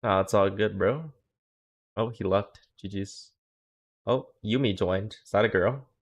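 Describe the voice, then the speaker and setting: A young man streaming, chatting casually and joking with his viewers. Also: lowish voice. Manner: profane, joking, quick reactions. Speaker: streamer and coach. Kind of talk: casual livestream chat.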